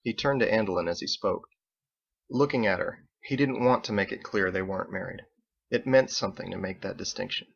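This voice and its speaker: A man's voice: low voice, a little fast, vocal fry, breathy edge, highs cut off. A man reading scripted fiction aloud as its author and narrator.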